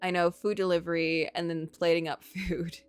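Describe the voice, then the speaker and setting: A woman game streamer reading a visual novel aloud in an expressive storytelling way, with casual asides. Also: fairly low pitch, normal speed, clean tone, no echo, soft background music.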